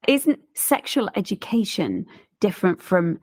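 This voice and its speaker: A woman's voice: medium pitch, big pitch swings, a little slow, darkish tone. A woman asking probing questions about childhood in a podcast discussion. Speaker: interviewer.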